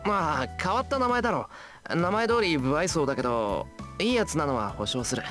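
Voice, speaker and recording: A man's voice: a little high, big pitch swings, racing, fairly bright tone, soft background music. A man playing an earnest, plain-spoken teenage schoolboy in a Japanese visual novel. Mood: reassuring.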